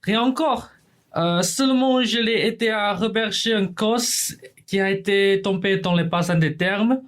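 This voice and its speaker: Young woman playing shy earnest rookie thief, really slow talker, radio play comedy. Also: mid-range voice, lightly acted. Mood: earnest, hesitant. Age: young adult. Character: hesitant, earnest young male apprentice thief. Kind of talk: French stage farce performed as radio play.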